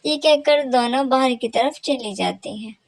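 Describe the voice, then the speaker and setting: A woman reading scripted storybook narration for an animated cartoon. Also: high-pitched, measured pace, gravelly, dry recording.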